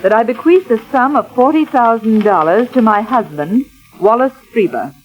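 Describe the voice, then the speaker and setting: A woman's voice: on the high side, lively intonation, moderate pace, highs cut off, soft background music, close to an everyday voice. An actress playing a wealthy, stubborn married woman in 1940s radio drama, theatrical and dismissive, on a vintage recording. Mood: breezy, unconcerned.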